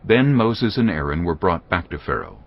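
A man narrating a dramatized audio Bible in a grave, measured reading. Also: low-pitched, big pitch swings, creaky, highs cut off.

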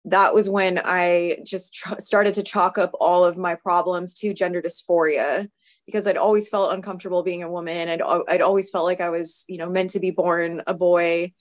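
Raspy hoarse woman, 30s, lowish voice, lots of ums and restarts, video call at home. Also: level tone, moderate pace. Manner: thoughtful, many fillers and restarts. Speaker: guest. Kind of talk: casual video-call interview. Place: home room. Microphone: video call webcam.

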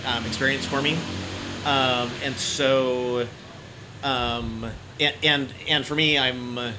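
A man in his forties doing a casual interview, speaking hesitantly with halting, stammering restarts. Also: mid-range voice, level tone. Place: small decorated indoor room. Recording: noisy room with background hum.

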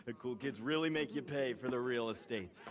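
A man's voice: medium pitch, moderate pace, in a large hall, close to an everyday voice. An actor playing a brash, entitled young American crime heir in a video game, talking in cocky salesman patter full of swearing. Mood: eager hosting. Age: young adult. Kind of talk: video game cutscene acting.

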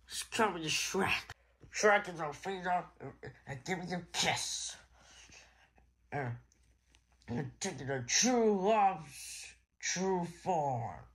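A man makes up bedtime stories in a playful, rambling way. His delivery is slow and halting. The pitch moves around a great deal as he goes. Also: sharp tone. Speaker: storyteller. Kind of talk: improvised bedtime storytelling with toys.

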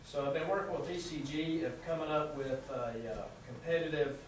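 A man with a Southern American accent presenting a proposal to a town council, halting and full of fillers, recorded from across a small meeting room. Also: a little high, fairly even intonation. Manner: halting, many fillers and restarts. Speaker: city utility official presenting to council. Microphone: far room camera mic. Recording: echoey room from far off, background noise.

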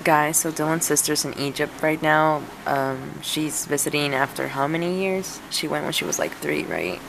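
A woman's voice: sits low, lively intonation, normal speed, vocal fry, very noisy. A young woman and mother vlogging to viewers in a chatty, upbeat way, holding the camera at arm's length. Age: young adult. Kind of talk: casual family vlog. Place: store, park and gym. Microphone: handheld camera at arm's length.

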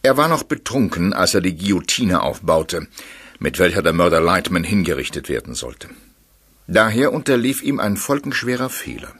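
A man's voice: sits low, big pitch swings, moderate pace, fairly bright tone, husky, full character voice. A man narrating a scripted crime radio drama as a grave storyteller. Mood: grave storytelling.

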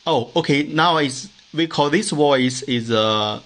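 Slow-speaking man demonstrating a gadget. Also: a little high, fairly bright tone. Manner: halting, non-native English phrasing. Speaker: demonstrator of a hand-made device. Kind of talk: informal product demonstration.

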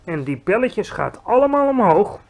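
A polite, patient little girl, as a male storyteller puts her on, asking her questions calmly and courteously. The voice sits high for a man. A read-aloud storybook voice. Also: normal speed, creaky, heavily acted, rough recording.

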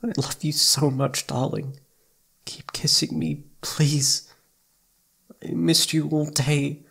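A man voicing a shy, adoring young boyfriend in an ASMR roleplay, with soft, breathy, pleading, hesitant speech. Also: on the high side, level tone, slow, voice pushed up, full character voice. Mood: longing, tender.

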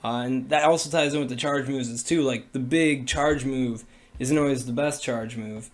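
A young man with a cold-raspy, hoarse voice, talking casually and rambling with many fillers on a livestream from a small room into a webcam. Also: mid-range voice, steady tone, normal speed. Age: young adult. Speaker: streamer. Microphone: webcam at desk.

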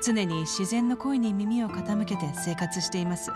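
A woman plays a devout, gentle caretaker from a video game. She explains things politely and in a measured way, with clear background music under her voice. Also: medium pitch, natural voice. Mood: earnest, composed. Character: gentle, devout woman running a religious children's home. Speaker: staff member of a religious children's home. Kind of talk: scripted Japanese video game dub.

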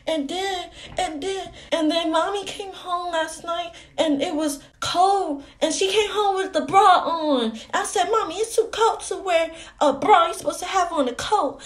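High-voiced chatty little girl. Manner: childlike grammar, rambling, naive storytelling. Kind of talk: comedy skit phone calls.

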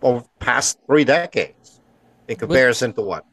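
A man's voice: mid-range voice, animated, moderate pace, a bit bright, no echo. A man born in the Philippines, co-hosting a casual podcast over a video call, blunt and teasing. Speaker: podcast co-host. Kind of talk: casual chat. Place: bright home room, indoors. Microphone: headset and studio mic on video call.

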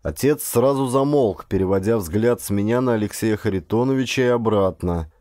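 A man's voice: on the low side, normal speed, mellow, no room sound. Male audiobook narrator, very dry close sound. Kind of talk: scripted first-person adventure narration.

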